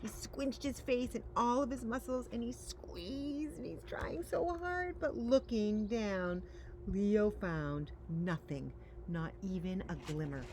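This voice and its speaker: A woman's voice: medium pitch, slow pace, darkish tone. A woman reading a children's picture book aloud as a story-time reader, expressively and with dramatic emphasis. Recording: faint background noise.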